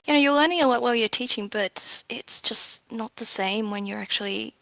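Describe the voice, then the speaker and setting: A woman's voice: on the high side, a little fast, gravelly, a bit bright, no echo, low-quality recording. A woman speaking as a guest in a casual interview, a little hesitant, with phrases that trail off. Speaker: game developer, interview guest.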